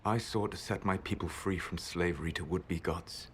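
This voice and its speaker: An actor playing an ancient, secretive male elf mage who speaks in a measured, grave and regretful way. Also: sits low, steady tone, a bit bright, slight background noise. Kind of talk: video game voice acting. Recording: game audio with music score.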